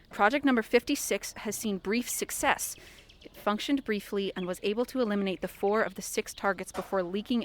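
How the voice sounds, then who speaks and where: A woman's voice: mid-range voice, natural voice. A woman playing an inquisitive, easily alarmed young reporter in an audio fiction podcast, exclamatory and full of questions.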